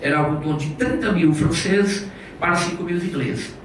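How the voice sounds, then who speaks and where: A man giving a public lecture in an auditorium, telling history in a rambling, animated way full of restarts, recorded from far off. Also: on the high side, moderate pace, slight background noise. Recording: echoey hall recorded from far off.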